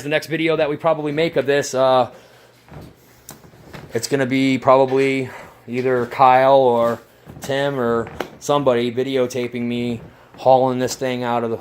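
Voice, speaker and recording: A man vlogging casually about his model plane build, rambling and swearing with excitement. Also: mid-range voice, slight background noise. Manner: rambling, profane, enthusiastic. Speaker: RC hobby vlogger. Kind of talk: casual workshop vlog.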